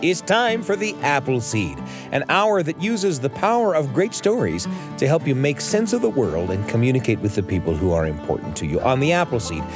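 A man hosting a radio show with scripted storytelling narration and dramatic emphasis. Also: mid-range voice.

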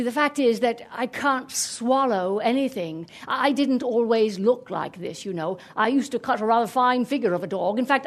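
A woman's voice: a little high, close to an everyday voice. A woman voicing a starving, polite English setter dog with a genteel, sorrowful English accent. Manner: polite, genteel, self-effacing.

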